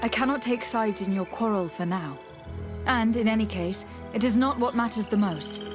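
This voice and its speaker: An actress voicing a composed, diplomatic noblewoman investigator in a video game, speaking in a measured, formal way. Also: on the high side, natural voice. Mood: calm, questioning. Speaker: game character voice actor. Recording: background music behind the voice.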